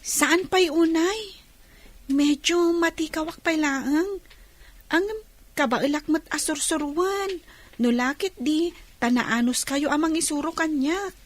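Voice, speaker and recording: A woman's voice: high-pitched, takes it slowly. A woman playing a grateful, earnest young schoolgirl in a radio drama. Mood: unsure.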